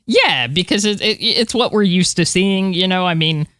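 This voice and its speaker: A woman's voice: on the low side, moderate pace, fairly bright tone, no echo, studio quality. A woman co-hosting a podcast, talking casually in a chatty, enthusiastic way. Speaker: podcast co-host. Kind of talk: casual space news podcast chat.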